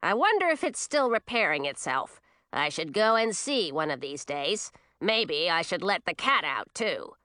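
A woman's voice: lowish voice, big pitch swings, unhurried, bright, forceful tone, no echo, clean studio sound, exaggerated voice. A woman voicing a grumpy old witch in a storybook, sour and snide, grumbling and muttering her words.

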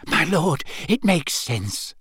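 A man voicing a timid, cringing servant of a villain in an audiobook, with a squeaky voice and a nervous, fawning, pleading manner. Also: sing-song, slow, a bit bright, husky, dry recording, clean studio sound, exaggerated voice. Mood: relieved. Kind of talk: audiobook character acting.

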